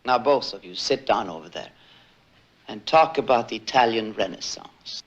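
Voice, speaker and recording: A man's voice: lowish voice, animated, normal speed, dull audio. An actor playing a stern, formal man who scolds two children. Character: stern, imperious older gentleman scolding children.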